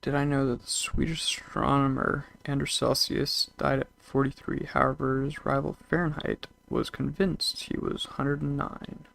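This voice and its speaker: Gravelly young man chatting with stream. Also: medium pitch, flat delivery, moderate pace, hardly any pauses, a bit bright, low-quality recording. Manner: rambling, reads chat messages aloud. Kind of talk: casual chat with live chat while gaming.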